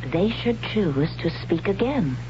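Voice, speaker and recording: A young female warrior in old radio drama style, pensive and hesitant. She speaks very slowly on a muffled, noisy old broadcast. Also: on the low side, expressive. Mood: pensive, wondering. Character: pensive, practical young woman warrior falling in love.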